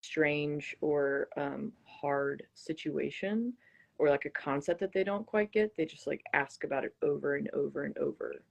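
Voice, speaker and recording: A woman guest with plenty of vocal fry, speaking in a casual, reflective way. She is thoughtful and hesitant, often pausing to search for the right word. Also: low voice. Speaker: interview guest, singer-songwriter. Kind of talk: casual reflective interview talk.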